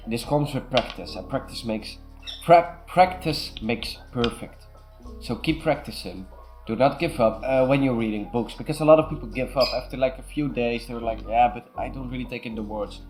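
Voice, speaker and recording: A man's voice: medium pitch, darkish tone. A young man from the Netherlands with a Dutch accent, earnestly giving casual self-improvement advice to camera. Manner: earnest, repeats "super" and "way better".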